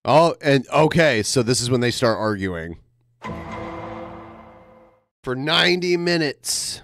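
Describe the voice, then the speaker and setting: A man's voice: medium pitch, expressive, slow, a bit bright, soft background music. A man making casual reaction commentary as a podcast co-host.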